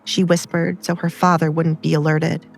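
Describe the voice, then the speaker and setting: A woman's voice: low voice, level tone, normal speed, vocal fry. A woman narrating an audiobook drama in a scripted storytelling style.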